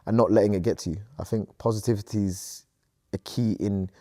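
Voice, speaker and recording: A man's voice: lowish voice, steady tone, fairly quick, mellow, no echo, studio quality. A man in his thirties from Sudan, a company co-founder answering interview questions in an earnest, upbeat way.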